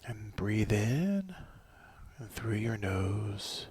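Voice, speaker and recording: A middle-aged man leading a guided body scan meditation, slow and soothing, often sinking into a whisper. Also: on the low side, sing-song, darkish tone, husky, no echo.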